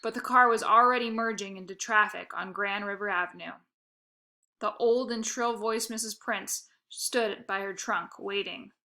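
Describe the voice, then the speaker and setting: A woman reading a children's novel aloud in the first person, expressive and playful. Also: mid-range voice, moderate pace. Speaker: narrator.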